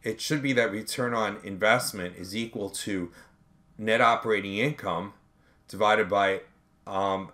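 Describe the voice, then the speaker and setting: Low-voiced man teaching accounting. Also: fairly bright tone. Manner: measured, reasoning aloud through calculations. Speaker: accounting instructor. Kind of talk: step-by-step tutorial explanation. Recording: background noise with keyboard typing clicks.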